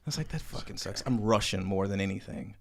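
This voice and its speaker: A man's voice: on the low side, brisk, creaky, slight background noise. A man hosting a podcast in a studio, talking in a loose, joking way with plenty of swearing. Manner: loose, profane, joking, rambling. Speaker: podcast host. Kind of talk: casual conversation. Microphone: studio microphone.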